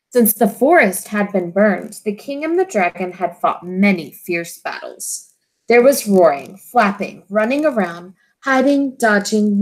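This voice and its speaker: A woman teacher reading a children's storybook aloud, expressively and in simple, deliberate phrases for young listeners. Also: mid-range voice, rough recording.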